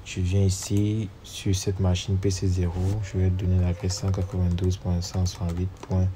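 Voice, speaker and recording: Male tutorial narrator with a cold, dark and monotone, faint hiss, keys clicking behind. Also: deep voice, moderate pace. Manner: steps through commands aloud, spelling out numbers. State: has a cold. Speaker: networking instructor. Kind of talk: screen-recorded tutorial walkthrough.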